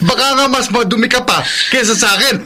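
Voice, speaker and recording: A man's voice: very high-pitched, quick pace, pushed and bright, slight background noise, exaggerated voice. A man playing a callous, cheating young boyfriend in a Filipino radio melodrama, theatrical and taunting, mixing in English. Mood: defensive, taunting.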